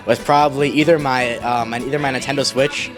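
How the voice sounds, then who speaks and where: A man's voice: mid-range voice, fairly even intonation, fairly quick. Young man chatting over music. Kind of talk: casual interview answer. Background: background music.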